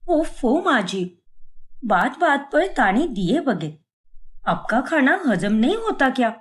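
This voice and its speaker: A woman's voice: high voice, very animated, slow pace, exaggerated voice. A woman voicing an exasperated young working daughter-in-law in a cartoon story, harried and protesting. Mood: exasperated, hurried.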